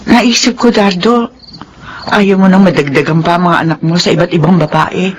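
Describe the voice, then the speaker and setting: A woman's voice: lowish voice, fairly even intonation, moderate pace, highs cut off, natural voice. Casting: weary, forgiving woman played by an actress, resigned sorrowful delivery, radio soap with faint noise. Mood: wounded, resigned. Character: long-suffering, forgiving woman, weary but faithful. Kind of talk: Filipino radio melodrama acting.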